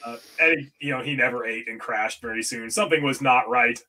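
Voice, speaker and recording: A man's voice: medium pitch, a little fast, pushed and bright, low-quality recording. A man in his fifties, a reptile breeder guesting on a video-call podcast, telling stories in a laughing, self-deprecating way. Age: 50s. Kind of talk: casual chat. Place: home room with green screen. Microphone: studio mic on video call.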